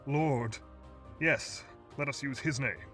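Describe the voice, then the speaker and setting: A man's voice: mid-range voice, slow, bright, forceful tone, far from the mic, faint music behind, voice pushed up. A man voicing a grim knight out hunting a dragon, crying out urgently and tensely. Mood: desperate.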